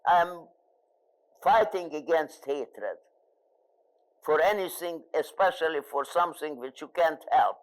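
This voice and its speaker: An older woman with a Hungarian accent, a native Hungarian speaker, answering questions as a guest speaker in a live interview, pausing often and searching for words. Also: low voice, rough recording. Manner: halting, searching for words with frequent fillers. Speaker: Holocaust survivor, guest speaker.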